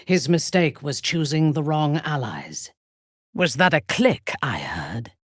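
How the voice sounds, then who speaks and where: A woman playing an imperious, ruthless female warrior cleric in a fantasy video game, with haughty, deliberate, theatrical delivery and a husky, breathy voice. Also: sits low, lively intonation, no room sound, clean studio sound, heavily acted. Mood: haughty, dry. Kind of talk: dramatic fantasy game voice lines.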